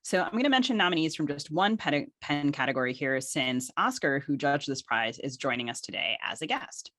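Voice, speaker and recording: A woman's voice: deep voice, sing-song, a little fast, a bit bright, no echo. A woman co-hosting a literary podcast, reading guest introductions and nominee lists with careful articulation. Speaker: podcast co-host.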